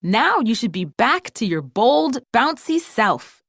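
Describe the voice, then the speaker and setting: A woman's voice: fairly high pitch, sing-song, slow, fairly bright tone, no echo, studio quality, voice pushed up, exaggerated voice. A woman voicing a caring, confident young girl who doctors toys in a storybook, explaining things reassuringly. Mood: encouraging.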